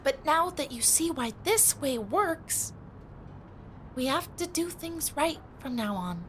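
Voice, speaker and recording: A woman's voice: high-pitched, expressive, takes it slowly, a bit bright, a little hiss or hum, full character voice. A woman playing a young troll boy in a family audio drama, earnest, clever and a little bossy. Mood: earnest, forgiving.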